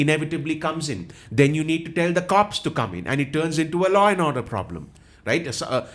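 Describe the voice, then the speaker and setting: A man's voice: fairly high pitch, fairly bright tone. A man from Sri Lanka with a Jaffna accent, explaining world affairs as an interview guest in an analytical, repetitive way with many restarts. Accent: Jaffna Tamil-influenced Sri Lankan English. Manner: explanatory, repeats himself, frequent restarts.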